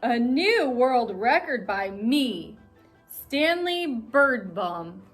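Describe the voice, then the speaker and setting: Slow, lively woman reading a kids' story. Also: fairly high pitch, soft background music. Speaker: guest reader. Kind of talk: reading a picture book aloud.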